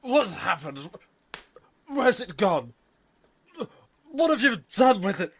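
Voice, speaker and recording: Pompous drunken noble, swooping high voice. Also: male voice, sing-song, takes it slowly, fairly bright tone, breathy edge, full character voice. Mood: outraged, panicked. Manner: haughty, blustering, indignant. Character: pompous drunken male noble, whiny and cowardly. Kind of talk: fantasy audio drama acting.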